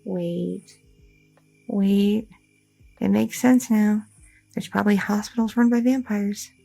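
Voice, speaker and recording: A woman's voice: medium pitch, steady tone, slow pace, vocal fry, dark tone, soft background music. A woman playing an anxious, devoted young goth girlfriend in an ASMR audio roleplay, hesitant and rambling, often repeating herself. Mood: curious, reassuring. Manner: hesitant, stammering, self-interrupting, repeating words.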